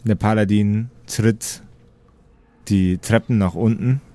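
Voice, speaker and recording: A man's voice: lowish voice, steady tone, slow pace, warm tone. A man working as game master, narrating an improvised tabletop role-playing game.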